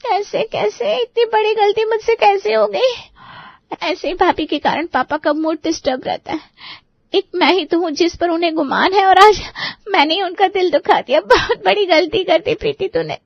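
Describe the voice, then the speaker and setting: A meek young daughter acted by a woman speaks earnestly in a story drama, quick to blame herself. The voice is very high, with a dry, slightly dull recording. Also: gravelly, no echo. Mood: self-blaming. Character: young obedient, self-blaming, naive daughter.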